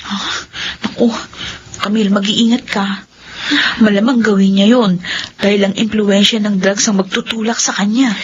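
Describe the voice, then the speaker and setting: A woman's voice: mid-range voice, fairly even intonation, moderate pace, close to an everyday voice. Dull muffled audio, woman acting caring friend, Filipino accent, radio drama, faint noise. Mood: concerned. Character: concerned woman friend, sympathetic adviser.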